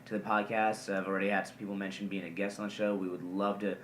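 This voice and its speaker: A man's voice: sits low, level tone, fast talker, far from the mic. A man co-hosting a casual wrestling podcast, rambling with lots of ums and uhs. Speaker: podcast co-host. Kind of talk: casual chat about wrestling. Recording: echoey room, faint noise.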